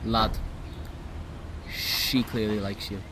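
A man's voice: fairly low pitch, level tone, takes it slowly, a bit bright, a little hiss or hum. A young British man playing a brash college student, a cocky but loyal friend, in an audio drama. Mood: teasing, exasperated. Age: young adult. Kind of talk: naturalistic audio drama acting.

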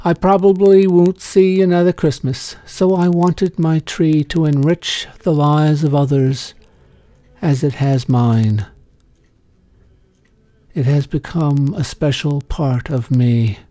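A wistful old woman from a storybook, read by a man, speaks tenderly and earnestly. The voice is soft and mellow, very unhurried, and recorded dry. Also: fairly high pitch, fairly even intonation, no room sound. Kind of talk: storybook character reading.

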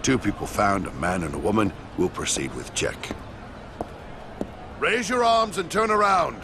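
A man plays a stern fantasy game guard with a gravelly, mid-pitched voice. He gives curt, formal orders with plenty of movement in pitch. Loud noise sits behind him. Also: lively intonation, moderate pace, natural voice. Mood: stern, commanding. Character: stern, official male city guard.

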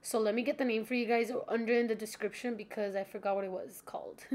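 A woman's voice: fairly high pitch, steady tone, fast, a little mellow. Young woman, slightly congested, chatty tutorial narration. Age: young adult. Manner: chatty, informal, giggly, addresses viewers as friends. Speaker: makeup tutorial creator. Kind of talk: casual tutorial voice-over.

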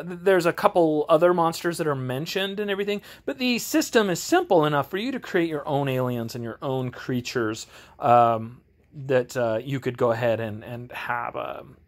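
A man reviewing tabletop games in a casual, off-the-cuff way, halting and often interrupting himself as he rambles along. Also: fairly high pitch. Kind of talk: casual off-the-cuff product walkthrough. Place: home game garage, indoors. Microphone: handheld camera, held by the speaker.

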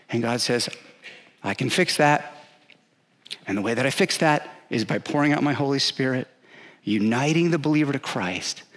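A man in his forties preaching a sermon on a church stage, earnest and emphatic, pausing on key words, speaking into a headset mic. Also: medium pitch, a bit bright. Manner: paces the stage, gesturing. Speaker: pastor. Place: large dark church stage.

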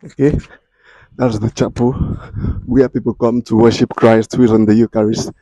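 A man's voice: mid-range voice, level tone, moderate pace, dark tone, no echo, low-quality recording. A young Nigerian man with a Nigerian accent hosting an upbeat walking tour, speaking straight to viewers outdoors. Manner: upbeat, addresses viewers directly. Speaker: real estate video host. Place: outdoors in a church compound.

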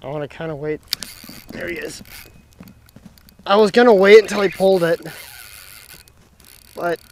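A young man giving excited, casual running commentary while fishing from a dock on a lake. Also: high-pitched, moderate pace, a little hiss or hum, poor audio. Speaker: fishing vlogger. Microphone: action camera mic, sometimes head-mounted.

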